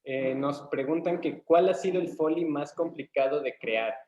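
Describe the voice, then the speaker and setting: A man's voice: a little high, moderate pace, a little mellow. A young man with a Mexican accent, interviewing a guest conversationally as the student host of an online webinar. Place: indoor room, online webinar call. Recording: echoey, dull lo-fi call audio.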